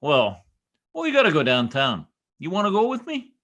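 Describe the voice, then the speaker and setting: A blustery, stubborn husband from a 1940s-style radio comedy, acted by a man. His pitch swings wildly as he makes emphatic, folksy pronouncements and trips over big words. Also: wildly swooping pitch, normal speed, a bit bright, full character voice. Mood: stubborn, boastful.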